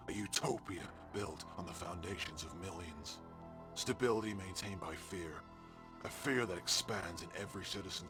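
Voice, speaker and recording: An actor playing a world-weary middle-aged man who gives a grave, portentous dramatic confession in a deliberate way. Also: low-pitched, close to an everyday voice. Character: guilt-ridden world-weary man confessing a dark secret. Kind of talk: dramatic scripted monologue.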